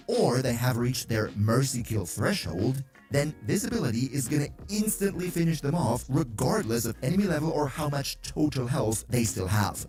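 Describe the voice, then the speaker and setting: A man's voice: mid-range voice, a little mellow. A man narrating a scripted game guide in an upbeat, enthusiastic explainer manner.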